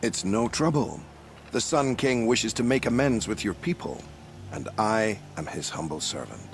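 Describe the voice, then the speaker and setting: An actor playing a smooth, self-assured military prefect in a video game, speaking with polished, formal politeness and feigning innocence. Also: male voice, lowish voice, lively intonation, moderate pace, a little hiss or hum. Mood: smooth, evasive. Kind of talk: video game dialogue acting.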